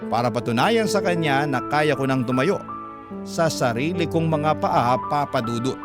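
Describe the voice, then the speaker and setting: A man narrating a listener's letter in the first person in a classic radio style, earnest and measured. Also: fairly high pitch, lively intonation. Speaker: storyteller reading a listener's letter. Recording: loud background music.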